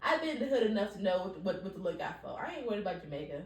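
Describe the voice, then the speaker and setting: A young woman chatting casually as a podcast co-host, animated and laughing often as she acts out quotes. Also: mid-range voice, racing, far from the mic, crowd noise. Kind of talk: casual chat. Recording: roomy, from off-mic.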